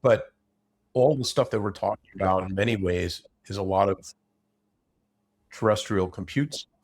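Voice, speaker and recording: Gravelly, low-voiced man, blunt and rambling. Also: normal speed, darkish tone. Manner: rambling, opinionated, blunt, speaks in broken-off sentences. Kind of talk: casual unscripted discussion of technology investing.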